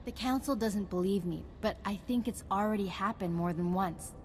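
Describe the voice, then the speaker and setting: An actress playing an earnest, idealistic female Jedi scholar in a video game, speaking in a measured, articulate way. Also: mid-range voice, a little hiss or hum, close to an everyday voice. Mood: earnest, enthusiastic. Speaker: Jedi ally briefing a mission. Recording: music behind the voice.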